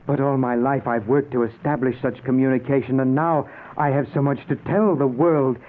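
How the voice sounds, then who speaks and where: A man's voice: mid-range voice, fairly even intonation, moderate pace, close to an everyday voice. An actor playing a newly dead, anguished man who narrates his afterlife in a theatrical old-time radio drama. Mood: desperate pleading. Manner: theatrical, intense, pleading. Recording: noisy, narrow-band old radio recording.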